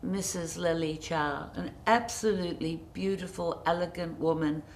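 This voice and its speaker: A middle-aged woman sits as a guest on a TV studio set, her voice on the low side. She talks graciously, stretching out words she wants to stress. A faint background hum sits under her lapel mic. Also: fairly even intonation, moderate pace. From New Zealand. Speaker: interview guest, designer. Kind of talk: TV studio interview.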